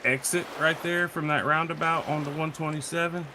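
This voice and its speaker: A man's voice: on the high side, fairly even intonation, a little fast. A man chatting casually on a live stream, blunt and prone to swearing. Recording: clearly noisy background.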